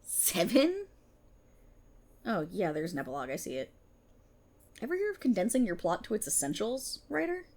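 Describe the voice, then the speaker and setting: Woman acting a smug, theatrical policewoman, swooping pitch. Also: big pitch swings, normal speed, long pauses, darkish tone. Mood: gloating, vindictive. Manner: theatrical, sarcastic, mocking. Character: smug, vindictive female multiverse police officer interrogating a writer. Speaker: ASMR roleplay performer. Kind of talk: ASMR roleplay acting.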